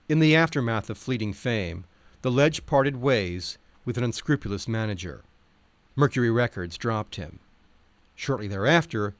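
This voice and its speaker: A man's voice: mid-range voice, no room sound. A man reading nonfiction aloud as a narrator, in an articulate, wry, wordy style.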